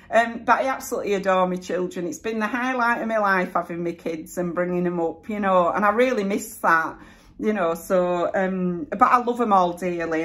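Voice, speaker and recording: A woman's voice: mid-range voice, a bit bright. A middle-aged woman with a broad Yorkshire accent, chatting through viewer questions in a casual vlog, with lots of fillers and frequent laughter. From North East England, raised in Yorkshire. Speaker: vlogger answering viewer questions.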